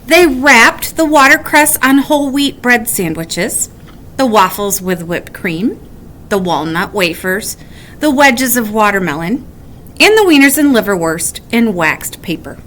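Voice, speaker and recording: A woman reading a children's bedtime story aloud in an animated way with dramatic emphasis. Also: mid-range voice, a little slow, slight background noise. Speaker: story reader.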